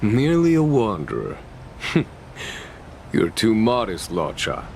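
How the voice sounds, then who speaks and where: A man's voice: lowish voice, wildly swooping pitch, slow pace, vocal fry, a little hiss or hum, full character voice. A man voicing a composed, shrewd general in a video game, speaking formally with dry authority. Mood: dry teasing.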